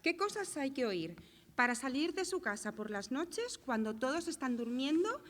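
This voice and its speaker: Casting: woman for gossipy single daughter, radio play, sarcastic, very animated high voice, reverberant hall sound. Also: normal speed. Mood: scandalized. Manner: sarcastic, insinuating. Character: catty, gossiping young unmarried neighbour daughter. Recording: echoey hall, long reverb.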